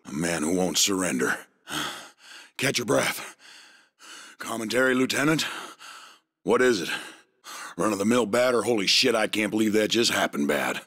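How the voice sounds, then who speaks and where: A ruthless military villain from a video game. His gravelly voice is hard and forceful, swinging widely as he taunts and gives orders. He sounds menacing and vengeful, every bit the commander. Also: lowish voice, expressive, normal speed, pauses often. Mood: dry, wry. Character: ruthless gruff male soldier turned vengeful villain.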